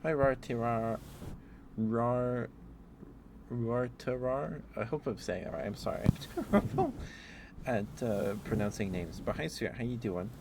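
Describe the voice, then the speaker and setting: A man's voice: medium pitch, animated, a little slow. A man doing a live ASMR stream, chatting to viewers in an affectionate, apologetic, rambling way. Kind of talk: ASMR livestream chat with viewers. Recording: clear background noise, keyboard typing.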